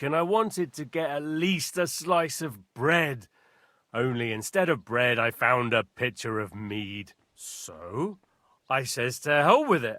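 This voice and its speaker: A roguish jailed thief. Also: male voice, fairly high pitch, pushed and bright, dry recording, clean studio sound. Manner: boastful storyteller, chuckling. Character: cheerful jailed petty thief, man, roguish. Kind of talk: game dialogue.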